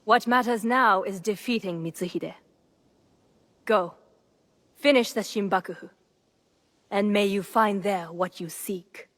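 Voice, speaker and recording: A woman's voice: medium pitch, fairly bright tone, lightly acted. Woman playing a composed, formal noblewoman. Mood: composed, urgent. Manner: measured, formal. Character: composed, shrewd noblewoman advising and sending agents to war. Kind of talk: video game cinematic acting.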